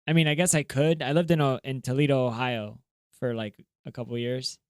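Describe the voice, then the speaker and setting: A man's voice: medium pitch, fairly even intonation, a little fast, smooth, no echo, studio quality. A man from Florida, born in Colombia, chatting casually as a podcast co-host in slangy talk full of "bro" and "like". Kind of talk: casual chat.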